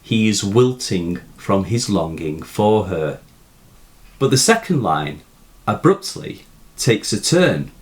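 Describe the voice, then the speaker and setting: A man presenting a scripted literary analysis and reciting verse as a teacher, with measured, deliberate phrasing and dramatic emphasis. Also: on the low side.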